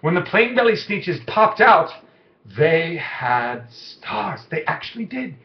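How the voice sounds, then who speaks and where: A man's voice: high-pitched, sing-song, takes it slowly. A man reading a children's rhyming story aloud, with theatrical cadence and big shifts in volume. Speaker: storybook reader. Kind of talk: dramatic children's story narration. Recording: muffled lo-fi, echoey room.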